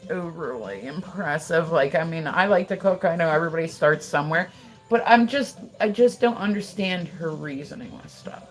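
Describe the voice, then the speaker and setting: An American woman livestreaming from her house, chatting casually and gossipy, full of fillers and swearing, answering her viewers as she goes. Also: on the low side. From United States. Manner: chatty, gossipy, loose and profane, lots of "like" fillers.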